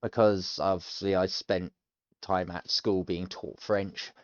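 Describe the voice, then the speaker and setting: A man's voice: deep voice, little pitch movement, slow, dry recording, muffled recording. A British man in his thirties, talking casually and rambling as he works through a language course, self-deprecating and chatty. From United Kingdom. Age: 30s. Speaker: language learner streamer. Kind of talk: casual thinking-aloud commentary.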